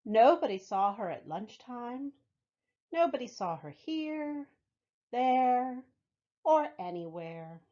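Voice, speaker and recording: A woman reading a picture book aloud for young children, with expressive, deliberate phrasing, as a library storytime reader. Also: fairly high pitch, lo-fi sound.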